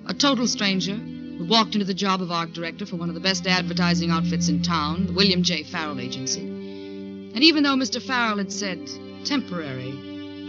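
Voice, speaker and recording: A woman's voice: on the low side, natural voice. An actress with an American accent playing a confident, wary woman art director in a 1940s radio suspense drama. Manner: brisk, direct, challenging. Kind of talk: 1940s radio suspense acting and first-person narration. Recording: dull old radio recording, music underneath.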